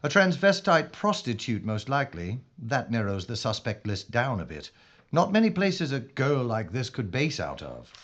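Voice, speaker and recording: A man's voice: mid-range voice, very animated, normal speed, a bit bright. A man playing a methodical, guarded wartime London police inspector in an audio drama, speaking formally and with clinical care. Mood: grim, clinical. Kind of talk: period audio drama acting. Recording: dull recording with faint noise.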